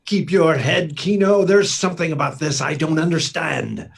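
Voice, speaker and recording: A man's voice: on the high side, moderate pace, vocal fry, noisy background. A man playing an imperious, short-tempered crime boss with theatrical menace and formal wording, in 1940s radio serial style. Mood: commanding.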